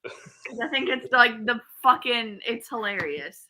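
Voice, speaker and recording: A woman's voice: a little high, measured pace, a crowd in the background, poor audio. A woman co-hosting a livestream in casual group chat, blunt and profane, telling stories with energy. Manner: blunt, profane, animated storyteller. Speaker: co-host of a livestream.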